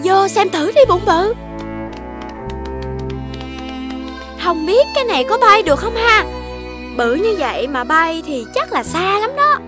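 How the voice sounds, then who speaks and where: A woman voicing a sweet little cartoon rabbit child, childlike and expressive, with a southern Vietnamese accent. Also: very high voice, moderate pace. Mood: amazed. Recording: music behind the voice.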